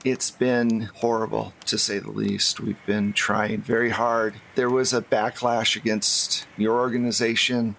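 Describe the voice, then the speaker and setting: A man voicing an open, worried Mother Superior in a tabletop game, speaking in a measured, matter-of-fact way. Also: lowish voice, creaky, fairly bright tone, voice pushed down, rough recording.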